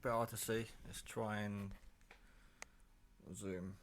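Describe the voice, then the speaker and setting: A man's voice: lowish voice, level tone, slow pace. A man giving a casual hands-on show-and-tell of his hobby kit, offhand and muttering to himself as he works, recorded on a handheld camera. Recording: clear background noise, clicks of handling.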